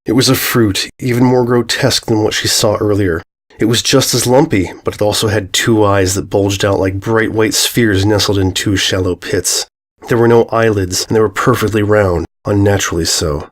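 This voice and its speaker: A man's voice: lowish voice, fairly even intonation, moderate pace. A man giving a scripted narration of a horror fan fiction story.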